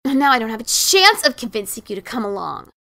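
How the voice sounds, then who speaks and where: Girl doing a adventurer pony writer, kinda high, creaky and sharp, defensive and emphatic, fan story reading. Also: big pitch swings, quick pace, full character voice. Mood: dejected. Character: adventurous pegasus pony author, pleading and defensive sister.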